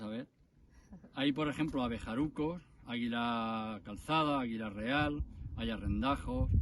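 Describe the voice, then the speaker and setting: A man's voice: medium pitch, creaky. A man from Moratalla, a guest in an outdoor interview, talking in a matter-of-fact way. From Moratalla, Spain. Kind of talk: relaxed interview talk. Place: outdoors in the countryside. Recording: distant mic, noisy outdoors.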